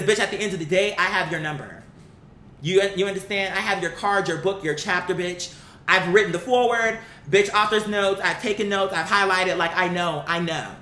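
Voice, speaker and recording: An online commentary host giving a blunt, opinionated, casual rant to viewers, swearing freely and repeating words for emphasis. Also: sharp tone. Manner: blunt, profane, emphatic repetition. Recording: roomy echo, faint rumbling noise.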